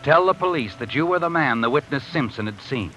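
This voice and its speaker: A man's voice: mid-range voice, lively intonation, brisk, pushed and bright, faint music behind, rough recording. An actor playing a cool, knowing narrator in a 1950s radio drama, speaking to a guilty man as "you" in an insinuating way.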